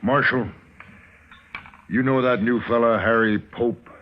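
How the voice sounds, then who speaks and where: An actor playing a grim, aggrieved frontier employer in a radio western, earnest and blunt. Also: male voice, mid-range voice, steady tone, unhurried, a little hiss or hum, lightly acted. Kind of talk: 1950s radio western acting. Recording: muffled old radio broadcast.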